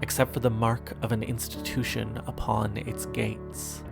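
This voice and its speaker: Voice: medium pitch, flat delivery, gravelly. A performer voicing a wistful narrator of scripted audio fiction, speaking intimately and reflectively to a lost lover over music. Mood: reflective, questioning. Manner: reflective, measured, with rhetorical questions. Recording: music under the voice.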